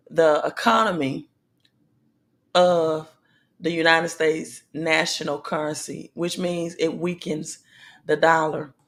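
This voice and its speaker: A woman hosting a casual livestream about money news, with a slightly raspy voice. She talks in a halting way with many pauses and fillers, and calls out to her viewers directly. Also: deep voice. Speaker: livestream host.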